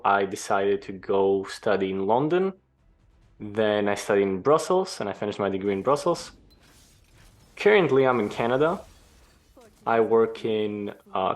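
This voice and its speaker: A young man from Greece, a former pro gamer, answering interview questions in a relaxed, explanatory way. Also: lowish voice. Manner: relaxed, explanatory, some restarts.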